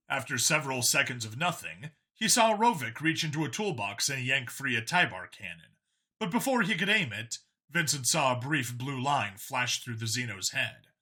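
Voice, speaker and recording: Very bright-voiced male story narrator. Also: medium pitch. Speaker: audiobook narrator.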